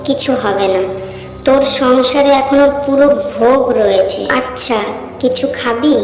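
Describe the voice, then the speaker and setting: An actress playing a mad, commanding ascetic woman of the cremation ground, abrupt and taunting, in an animated horror story. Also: high voice. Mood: grudging, scornful. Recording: echoey reverberant voice over faint music.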